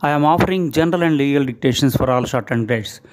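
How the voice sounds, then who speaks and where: A man with an Indian English accent, a shorthand instructor reading a news passage aloud in short, measured phrases for dictation practice. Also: medium pitch, low-quality recording.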